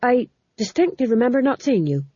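An audiobook reader voicing a nervous, quick-witted male court fool who speaks hastily and eagerly, in a dull lo-fi recording. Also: medium pitch, sing-song, dark tone, husky, no room sound, voice pushed up. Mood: hasty. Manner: hasty, eager to please.

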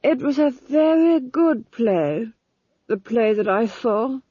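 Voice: mid-range voice, expressive, slow, breathy edge, no echo, lo-fi sound, pitched above the performer's own voice, full character voice. A reader putting on a jittery guilty duke. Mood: dreamy. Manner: babbling, nervous, dreamy. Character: anxious guilty male duke, fretful.

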